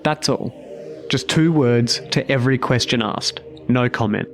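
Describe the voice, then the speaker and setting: Measured male true-crime narrator, slightly mid-pitched. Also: gravelly, soft background music.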